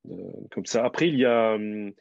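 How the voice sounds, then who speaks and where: A man who teaches French, hosting an interview and asking quiz questions clearly and encouragingly. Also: fairly low pitch, moderate pace, darkish tone, dry recording, dull audio. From France.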